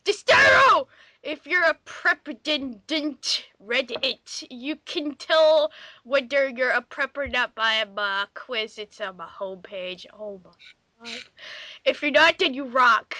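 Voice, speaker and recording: A high-voiced woman banters and reads dramatically, with big swings in pitch and an unhurried, halting pace. She is expressive and exclamatory, recorded close and dry. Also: dry recording. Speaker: player in a dramatic group reading game.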